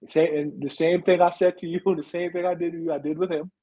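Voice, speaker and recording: Husky-voiced male football coach explaining. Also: fairly high pitch, little pitch movement, fast talker, dark tone. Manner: explanatory, punctuates points with "right" and "you know". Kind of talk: conversational interview advice. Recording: dull, lo-fi sound.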